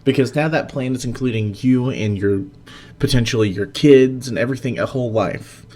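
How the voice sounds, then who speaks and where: A man's voice: mid-range voice, normal speed, mellow. A man chatting casually as a co-host, blunt and informal in the way he talks. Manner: blunt, informal, swears freely. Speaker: podcast co-host. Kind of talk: casual conversation.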